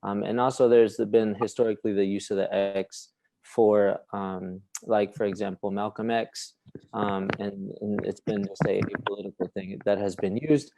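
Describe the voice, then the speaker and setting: Mellow, low-voiced man, halting and thoughtful. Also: fairly even intonation, creaky, a little hiss or hum, poor audio. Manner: halting, thoughtful, searching for words. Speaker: panelist. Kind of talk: panel discussion.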